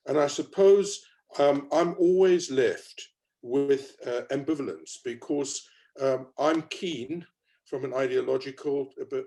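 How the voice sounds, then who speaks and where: Mellow-voiced South African man. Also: medium pitch. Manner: reflective, hesitant with many fillers. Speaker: panel speaker. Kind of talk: panel discussion.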